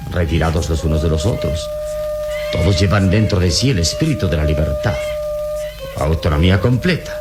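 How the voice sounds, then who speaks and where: Radio serial hero: deep, expressive, wise and fearless, measured and fatherly, music underneath. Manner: measured, reassuring, explanatory, paternal. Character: calm, wise, fearless adult male adventurer hero. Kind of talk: Latin American radio serial acting. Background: dramatic background music.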